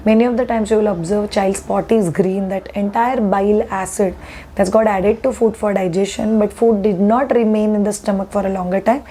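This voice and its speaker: Slightly hoarse Indian woman, mellow voice. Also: mid-range voice, a little hiss or hum. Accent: Indian English. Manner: earnest, repeats reassurances, rhetorical questions. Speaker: parenting educator. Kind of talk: explanatory talk to parents.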